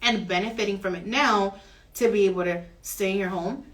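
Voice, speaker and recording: Woman, mortgage broker, earnest and steady, mid-pitched voice, explaining finance options. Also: fairly quick, rough recording. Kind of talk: explanatory chat about home financing.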